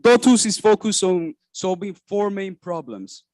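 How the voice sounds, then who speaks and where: A man delivering a rehearsed startup pitch, walking through numbered points in a steady scripted way. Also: measured pace, no echo. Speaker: startup founder presenting.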